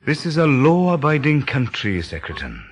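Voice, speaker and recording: An actor playing a firm, principled gentleman in an audio drama, husky and emphatically assured. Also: mid-range voice, huge pitch swings, moderate pace. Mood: resolute.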